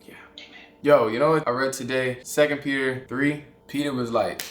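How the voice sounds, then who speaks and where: A young man vlogging in a soft, reflective, rambling way, with trailing fillers. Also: mid-range voice, steady tone, normal speed. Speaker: content creator. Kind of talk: casual vlog talk.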